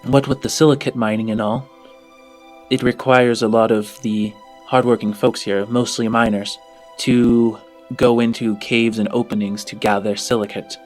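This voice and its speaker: A flustered female orphanage administrator as a man acts her out for a tabletop game. She hedges and hesitates as she guides visitors, evasive and halting, with faint music underneath. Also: medium pitch, flat delivery, lightly acted. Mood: earnest, sombre.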